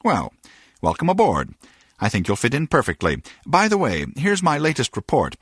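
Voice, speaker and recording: A man's voice: mid-range voice, huge pitch swings, moderate pace, breathy edge, dry recording, studio quality. A man playing an earnest, pedantic rocket scientist in a video game, formal and a little self-satisfied. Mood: pleased, pedantic.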